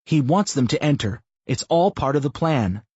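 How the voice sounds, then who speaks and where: A man's voice: medium pitch, animated, moderate pace, darkish tone, husky, no echo, voice pushed up. A male narrator voicing a swaggering, profane man who gives orders in an audiobook. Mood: firm, cocky. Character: cocky foul-mouthed man giving orders. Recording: muffled lo-fi audio.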